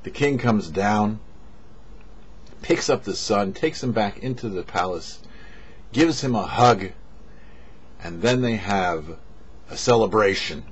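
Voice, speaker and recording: A man, a rabbi, giving a short sermon with parables in a measured storyteller's delivery, pausing between phrases. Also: lowish voice, expressive, husky. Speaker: rabbi, host of a weekly Torah portion show. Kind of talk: sermon with storytelling, read for a show. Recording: dull, lo-fi audio.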